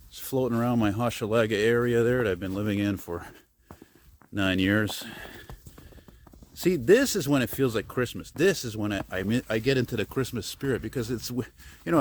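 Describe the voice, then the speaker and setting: An older man with a deep baritone voice, chatting as he vlogs on a walk through snowy city streets. He meanders and mutters, with mild swearing and self-deprecating asides. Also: a little hiss or hum. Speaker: vlogger. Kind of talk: rambling walking vlog. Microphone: phone on a selfie stick.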